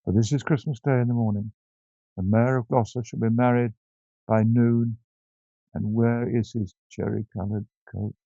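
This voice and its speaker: A man's voice: mid-range voice, fairly even intonation, normal speed, vocal fry, mellow, no room sound, lo-fi sound. A man voicing a poor, worn-out old tailor who laments wearily. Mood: anxious. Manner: weary lament.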